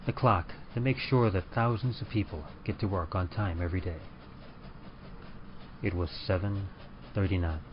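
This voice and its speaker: A man playing an ominous train conductor, narrating in a measured, theatrical old-time radio horror style. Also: deep voice, background noise, lightly acted. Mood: somber storytelling. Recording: dull lo-fi sound under music.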